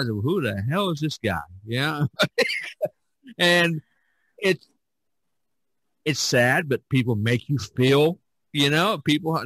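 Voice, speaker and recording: Guy hosting a podcast, casual n rambly, folksy, kinda halting, close dry sound. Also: fairly high pitch, sharp tone, dry recording, rough recording. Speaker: podcast host. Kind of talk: casual conversation.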